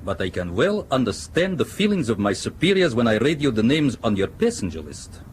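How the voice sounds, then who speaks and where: Man playing a wry, suspicious foreign ship's captain, lively pitch. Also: slight background noise, natural voice. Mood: cautious, accusing. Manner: measured, probing, ironic. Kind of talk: radio drama acting.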